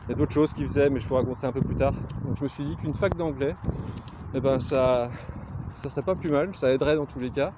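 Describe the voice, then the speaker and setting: A man with a Lorraine accent talks casually while walking outdoors, pausing often to find his words. His voice sits at an ordinary middle pitch. Steady outdoor noise and passing vehicles run behind him. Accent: Lorraine French. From Lorraine, France. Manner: rambling, frequent hesitations. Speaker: glassblower vlogger. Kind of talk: casual talk to camera. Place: outdoors on a countryside walk.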